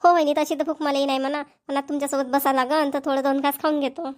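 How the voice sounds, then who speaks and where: A woman's voice: high-pitched, level tone, fast, no room sound, studio quality, natural voice. A woman voicing a plain-spoken middle-aged village mother in a family cartoon, with a Varhadi Marathi accent. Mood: homely. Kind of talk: cartoon family comedy voice acting.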